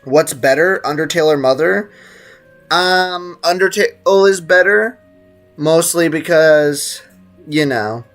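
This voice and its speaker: Young streamer, medium pitch, casual rambling chat, joking and mock-indignant, faint game music. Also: fairly bright tone. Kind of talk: casual livestream game commentary, chatting with viewers.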